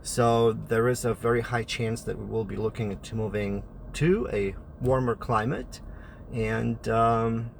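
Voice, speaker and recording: A man's voice: medium pitch, a little slow, gravelly, slight background noise. Man with a Polish accent vlogging. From Poland. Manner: direct, frequent "uh" fillers. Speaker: family travel vlogger. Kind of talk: casual explainer vlog.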